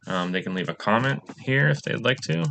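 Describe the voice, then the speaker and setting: Man, young adult, gravelly voice, crisp bright tone, casual screen-recorded demo. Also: mid-range voice, expressive, a little fast, a little hiss or hum. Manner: casual, many "um" fillers, plain walkthrough. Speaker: coding bootcamp student presenting a project.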